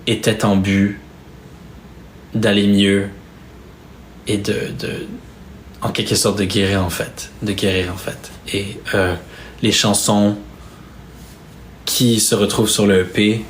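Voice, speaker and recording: Soft-spoken young Québécois man. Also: on the low side, flat delivery, slow, long pauses, a little hiss or hum, rough recording. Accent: Québécois French. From Quebec, Canada. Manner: soft-spoken, hesitant, with many fillers and restarts. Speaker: singer streaming live to fans. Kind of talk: casual live-stream chat. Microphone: phone or webcam on a live stream.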